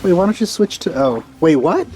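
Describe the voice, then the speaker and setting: A man giving casual, low-key commentary as he plays a game, musing aloud. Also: big pitch swings, brisk, mellow. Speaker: gameplay streamer. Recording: game music behind.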